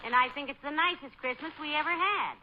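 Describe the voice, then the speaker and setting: A muffled, hissy old radio recording of a fond, wry wife played by a woman. She talks fast in a fairly high voice, patient and gently teasing, in classic comedy-show style. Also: lightly acted. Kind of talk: 1940s radio comedy acting.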